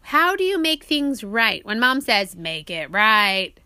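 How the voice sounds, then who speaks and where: A woman narrating an audiobook she wrote, pausing to prompt and question as she teaches a child. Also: on the high side, sing-song, pushed and bright, smooth, dry recording, studio quality. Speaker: author reading own book aloud and parent.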